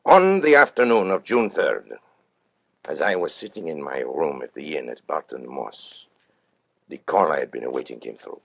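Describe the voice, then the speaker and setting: Calculating villain-narrator for vintage radio suspense: very deep, rough-edged husky voice, deliberate and menacingly formal delivery. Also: male voice, big pitch swings, muffled recording, heavily acted. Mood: measured, calculating. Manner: deliberate, theatrical, formal storytelling. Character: cold, calculating male criminal mastermind confessing his crime. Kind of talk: old-time radio suspense drama narration.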